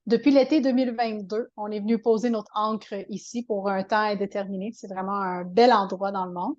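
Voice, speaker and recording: A woman's voice: mid-range voice, fairly even intonation, fairly quick, darkish tone, lo-fi sound. A woman with a Québécois French accent, a coach talking as an interview guest in a chatty way, full of fillers and restarts. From Quebec. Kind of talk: casual interview talk.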